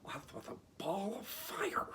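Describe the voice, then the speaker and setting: A man's voice: high voice, wildly swooping pitch, measured pace, sharp tone, slight background noise, voice pushed up, full character voice. A man voicing an anxious, cowardly talking lion from a storybook, exclaiming.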